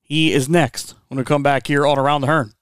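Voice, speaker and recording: Smooth-voiced sports radio host. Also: male voice, fairly high pitch, fairly even intonation, a little fast, no room sound, clean studio sound. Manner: easygoing, conversational, with fillers. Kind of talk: sports podcast talk.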